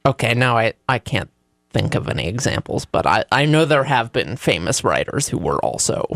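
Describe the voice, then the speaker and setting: A man's voice: mid-range voice, very animated, normal speed, vocal fry, fairly bright tone, dry recording, clean studio sound. A man chatting casually on a radio talk show, often with a chuckle in his conversational delivery. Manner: chuckles often while talking.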